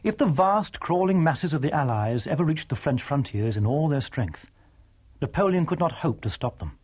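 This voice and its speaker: A man giving scripted, dramatic historical narration in a measured, emphatic manner with a husky voice. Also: a little high, expressive, a little mellow.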